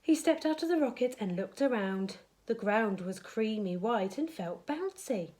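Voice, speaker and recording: A woman's voice: on the high side, lively intonation, a little slow, dark tone. A woman reading a children's bedtime story aloud, expressively dramatising it for young listeners.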